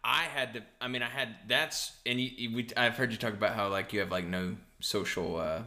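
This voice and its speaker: Guy in his 30s, gym owner on a podcast, rambly, bright forceful voice, kinda low. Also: fairly even intonation, fairly quick. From Hawaii and Las Vegas. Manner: rambling, self-interrupting. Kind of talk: casual chat.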